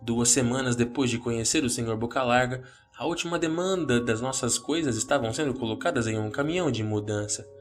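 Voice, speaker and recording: A man's voice: medium pitch, a little fast. A man with a Brazilian accent reading a scripted first-person horror story as narrator. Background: background music.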